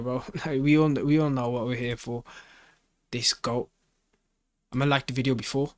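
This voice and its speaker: A man's voice: mid-range voice, no echo. Male reaction host, informal and slangy, repeats himself, close dry sound. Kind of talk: casual reaction commentary.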